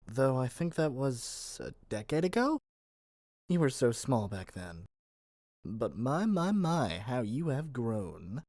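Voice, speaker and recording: A man's voice: mid-range voice, very animated, slow pace, a little mellow, dry recording, studio quality. A man playing a lovesick young witch shopkeeper in a scripted ASMR roleplay, theatrical and coaxing in a playful way. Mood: playful, salesy. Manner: theatrical, coaxing, playful, stammers when pleading.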